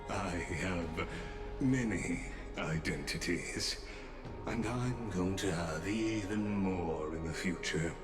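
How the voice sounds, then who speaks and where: An actor voicing an ancient, enigmatic male watcher in a video game, speaking with formal, measured politeness and deliberate pauses. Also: low-pitched. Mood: calm, enigmatic. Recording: echoing space, music underneath.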